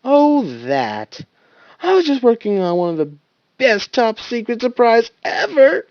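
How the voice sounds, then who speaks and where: Man reading a high, eager, childlike soldier, lively swoops, muffled dry recording. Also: unhurried, no echo, exaggerated voice. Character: young male soldier, cheerful, childlike, excitable.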